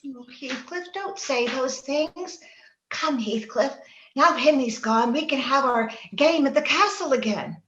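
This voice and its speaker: A high, unhurried girlish voice, played by a woman, pleading and dreamy in old-time radio style. She sounds earnest and willful, recorded in an echoey room over a slightly muffled link. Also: fairly bright tone. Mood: soothing, coaxing. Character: willful, pleading young girl, dreamy and romantic. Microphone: remote video call. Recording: roomy, muffled home video-call recording.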